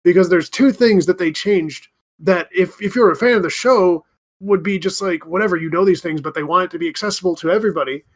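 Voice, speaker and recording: A man's voice: sits high, brisk. A man co-hosting a casual gaming podcast, blunt and dismissive, swearing freely. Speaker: podcast co-host.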